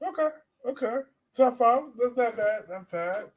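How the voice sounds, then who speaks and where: A man's voice: very high-pitched, lively intonation, a little slow. A man hosting a casual sports podcast, informal and conversational, often posing questions to his co-host.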